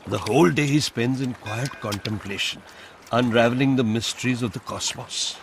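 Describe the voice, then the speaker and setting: Devout older Indian man, male actor: reverent and fussy, medium-pitched, radio play with light background noise. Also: moderate pace, vocal fry, natural voice. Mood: insistent. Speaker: actor playing a holy man's devoted host. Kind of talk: radio drama acting.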